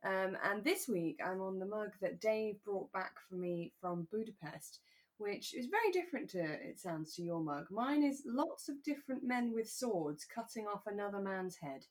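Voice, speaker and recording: A British woman co-hosting a podcast in relaxed, casual chat, chatty and self-deprecating. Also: medium pitch, normal speed. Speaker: podcast co-host. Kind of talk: relaxed casual chat about a radio drama.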